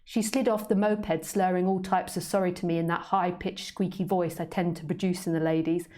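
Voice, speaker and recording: A cocky, vain tomcat tells his tale in working-class London slang, read in the plain voice of the woman who wrote it. The voice sits fairly low and even, and the delivery is steady storybook narration. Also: fairly quick, lightly acted. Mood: smug. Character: vain, proud male street cat, cocky.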